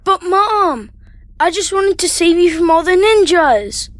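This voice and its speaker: A woman's voice: very high-pitched, animated, a little slow. A woman playing an earnest, imaginative little boy who protects his mom in an audio drama. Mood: earnest, pleading. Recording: echoey, reverberant room.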